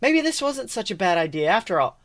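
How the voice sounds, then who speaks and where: A man co-hosting a podcast, reading fan fiction aloud as an expressive narrator. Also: high-pitched, fairly quick. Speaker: podcast co-host.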